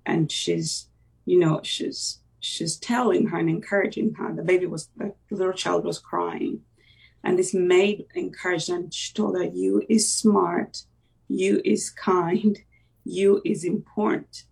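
Gravelly voice, halting. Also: mid-range voice, steady tone, darkish tone, recorded from a distance, rough recording. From Uganda. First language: Luganda. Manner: reflective, halting, with many fillers and restarts. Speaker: interview guest. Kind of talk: personal faith testimony in conversation.

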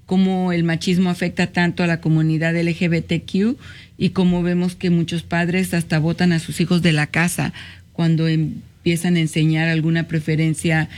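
A woman's voice: on the low side, little pitch movement, normal speed. A woman hosting a community radio talk show, thanking callers and guests, often adding "¿verdad?" as she speaks. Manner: warm thanks, frequent "¿verdad?" tags.

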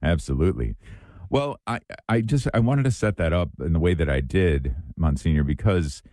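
A middle-aged male host with a hoarse, raspy voice that sits extremely low and mellow. He speaks in an earnest, reflective way on a spiritual talk show. The sound is dry and close. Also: expressive, moderate pace, no room sound.

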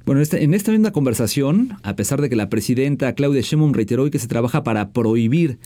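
A man's voice: mid-range voice, expressive, quick pace, warm tone. A man with a Mexican accent presenting radio news in a partly scripted, partly conversational style as host.

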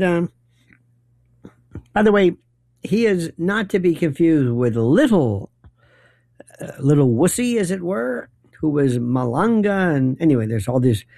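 An older man hosting a late-night call-in radio show, musing aloud in a rambling, opinionated monologue with animated asides. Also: fairly high pitch, gravelly, no echo, studio quality. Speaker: radio talk show host. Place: radio studio.

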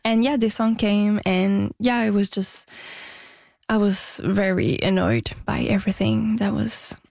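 A woman's voice: medium pitch, lively intonation, unhurried, creaky, no echo, clean studio sound. Shy woman musician talking. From Quebec, Canada. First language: French and Spanish. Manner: soft-spoken, hesitant, many fillers and restarts. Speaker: musician guest. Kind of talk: interview answers.

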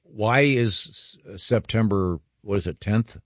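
A man's voice: on the low side, slow pace, dry recording. A man hosting a radio show who keeps asking curious questions in casual banter, recorded in a studio. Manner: curious, asks many questions.

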